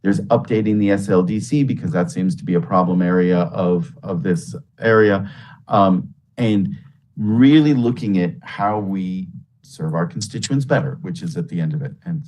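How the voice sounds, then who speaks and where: A man's voice: low-pitched, normal speed, darkish tone. A man at a public meeting laying out his points in order and checking in with "right?". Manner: organized, ticking off points with "right?". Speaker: meeting participant. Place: meeting room.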